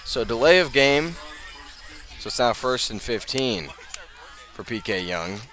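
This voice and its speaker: An American man calling live high school football on the radio, measured between plays and emphatic on the big ones. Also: expressive, a bit bright. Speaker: play-by-play announcer.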